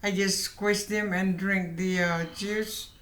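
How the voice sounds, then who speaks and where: Slow-spoken woman, echoey room. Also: mid-range voice, steady tone, fairly bright tone. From Philippines. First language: Ilocano. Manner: hesitant, searching for words, giggly. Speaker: vlog co-host. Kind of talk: casual chat.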